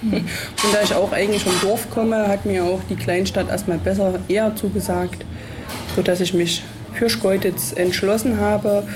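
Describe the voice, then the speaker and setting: A woman telling her own story in a casual interview. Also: medium pitch. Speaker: interviewee, local resident. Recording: clear background noise.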